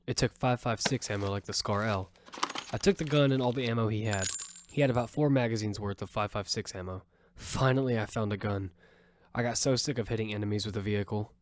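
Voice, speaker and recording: A man narrating an audio drama in first person as its lead, telling the story with quick urgency over music. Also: fairly low pitch, lightly acted.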